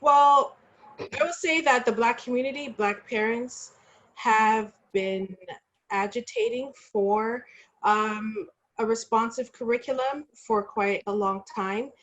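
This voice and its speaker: A woman's voice: a little high, expressive, slow pace, a bit bright, low-quality recording. A woman speaking as an educator on a discussion panel, explaining things with careful, thoughtful and fairly formal phrasing.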